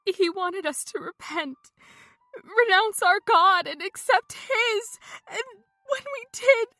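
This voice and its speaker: A woman playing a terrified, broken prisoner in an audio drama, halting and fearful. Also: very high voice, pushed and bright, no echo, studio quality, soft background music, heavily acted. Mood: tearful. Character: frightened, broken female prisoner of a cult.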